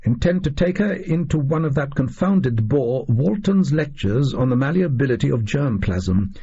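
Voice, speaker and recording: A man's voice: medium pitch, animated, normal speed, warm tone, breathy edge, dull audio. A man voicing a pompous, eccentric explorer-scientist reading out his diary in clipped, self-important phrases, for an audio story. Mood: smug, matter-of-fact.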